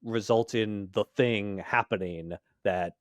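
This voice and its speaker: A man's voice: fairly low pitch, takes it slowly, dry recording. A man co-hosting a podcast in casual conversation, explaining things informally. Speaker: podcast co-host. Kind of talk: casual chat.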